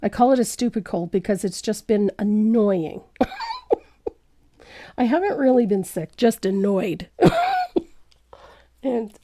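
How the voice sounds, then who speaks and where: A woman's voice: mid-range voice, very animated, slow pace, pauses often, warm tone, dry recording. Chatty, congested woman teaching painting. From Canada. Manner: chatty, rambling, self-deprecating, laughs often. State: congested from a cold. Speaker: painting instructor. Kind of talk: live painting tutorial, chatty.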